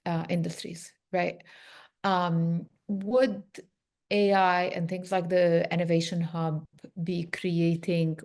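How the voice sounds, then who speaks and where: A woman hosting a webinar, asking her guest questions in a measured way with fillers and hedges. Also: on the low side, darkish tone. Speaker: webinar host, moderator. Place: remote video call. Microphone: video call microphone.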